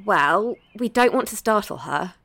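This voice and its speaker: A dry, close woman ghost hunter in an audio drama. She is practical but tentative and careful, speaking conversationally at an average pitch. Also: lively intonation, a little slow, gravelly, fairly bright tone, dry recording, heavily acted. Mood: cautious, wry.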